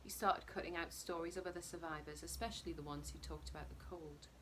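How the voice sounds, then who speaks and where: A woman narrating a short story expressively, her phrases sometimes dropping to a hush. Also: sits low, fairly even intonation, quick pace, background noise. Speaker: story reader. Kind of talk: narrated short fiction reading.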